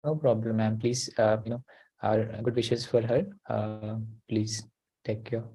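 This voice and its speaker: A man's voice: fairly low pitch, little pitch movement, measured pace, warm tone, rough recording. Give me a hesitant man leading an online class. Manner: hesitant, full of uh and you know. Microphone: video call.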